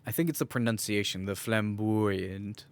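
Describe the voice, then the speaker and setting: A man's voice: on the low side, steady tone, normal speed, clean tone, no echo. A man co-hosting a podcast with casual, joking and sometimes crude banter. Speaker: podcast co-host.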